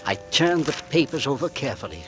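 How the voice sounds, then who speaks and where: An actor playing a mild, polite homeowner growing quietly suspicious, narrating and talking in measured 1940s radio style. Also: male voice, mid-range voice, very animated, music in the background, natural voice. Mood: uneasy suspicion. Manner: courteous, measured, storytelling delivery. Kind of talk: 1940s radio acting, first-person narration and dialogue. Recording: vintage radio drama with musical score.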